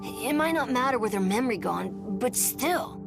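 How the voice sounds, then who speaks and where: Lively, casual young spirit. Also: female voice, on the high side, normal speed, creaky, over music. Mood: frank concern. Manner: informal, expressive. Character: spunky, casual, blunt young great spirit. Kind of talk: dramatic video game voice acting.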